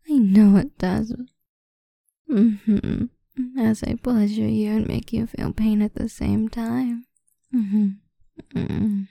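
A woman speaking in a breathy whisper in an erotic roleplay audio, domineering, commanding and taunting. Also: fairly high pitch, dark tone, no room sound.

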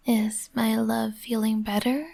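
A woman whispering softly and soothingly, speaking as a comforting partner in a roleplay. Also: on the high side, level tone, unhurried. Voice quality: breathy.

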